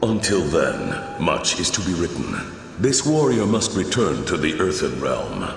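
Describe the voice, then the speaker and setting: An actor playing a solemn otherworldly keeper of spirit realms in a fantasy game, speaking in a formal, grave manner. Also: male voice, very deep voice, wildly swooping pitch, a little slow, vocal fry, a little hiss or hum, full character voice. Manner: formal, grave, speaks of self in third person. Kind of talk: scripted fantasy game dialogue. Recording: echoey long reverb, music behind.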